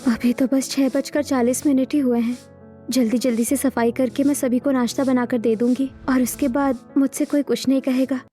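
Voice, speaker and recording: Woman acting a timid, high-voiced young daughter-in-law. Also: steady tone, moderate pace, dark tone, faint music behind, lightly acted. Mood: anxious. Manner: nervous, stammering. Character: frightened, anxious young daughter-in-law, timid and eager to please.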